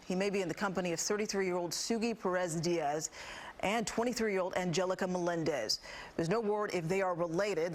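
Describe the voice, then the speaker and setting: American woman anchoring a TV newscast. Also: mid-range voice. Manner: formal, polished broadcast delivery. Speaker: news anchor. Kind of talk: scripted TV newscast narration.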